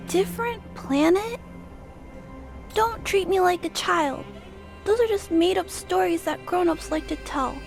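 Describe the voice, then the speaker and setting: A woman's voice: very high-pitched, measured pace, gravelly, soft background music, full character voice. A woman voicing a shy, earnest young girl in a video game, hesitant and polite. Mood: mildly indignant. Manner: hesitant, soft-spoken, polite.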